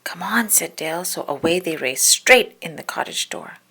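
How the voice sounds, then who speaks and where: A woman's voice: low voice, moderate pace, vocal fry, sharp tone. A woman narrating a children's story as a read-aloud. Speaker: storybook reader.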